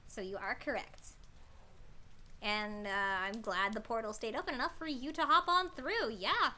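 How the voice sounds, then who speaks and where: A woman chatting casually as a livestream host, bubbly, scattered and excitable. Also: on the high side, moderate pace. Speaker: streamer, host of a story-reading livestream.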